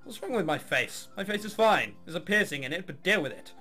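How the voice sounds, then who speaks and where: Male let's player, high lively voice, mock-exasperated commentary with faint music underneath. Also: brisk. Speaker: gamer reading and commenting on a visual novel. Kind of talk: let's play narration with sarcastic asides.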